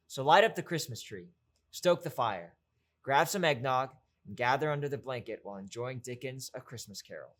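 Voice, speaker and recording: A man's voice: mid-range voice, animated, normal speed, a bit bright. Male host, mid-range voice, scripted introduction to a storytelling program.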